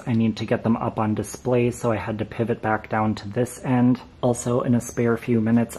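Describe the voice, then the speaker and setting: A man's voice: medium pitch, mellow, poor audio. A man giving casual, matter-of-fact updates in a vlog about his collection. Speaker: vlogger showing a fan collection. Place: basement display room with exposed joists.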